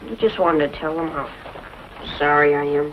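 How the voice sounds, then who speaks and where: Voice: medium pitch, fairly even intonation, moderate pace, lightly acted. An actor playing an earnest, guilt-ridden young ranch boy in an old-time western drama, on a dull, noisy recording. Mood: remorseful.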